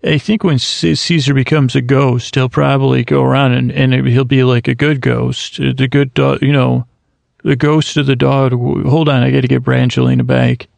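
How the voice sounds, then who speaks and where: A man with a heavily gravelly voice talks close to the mic in a dead, dry room. He drifts through a self-deprecating comic ramble, trailing off, restarting and jumping into little imagined conversations. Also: medium pitch, flat delivery, a little mellow, no room sound. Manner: slips into quick imagined dialogues. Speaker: podcast host.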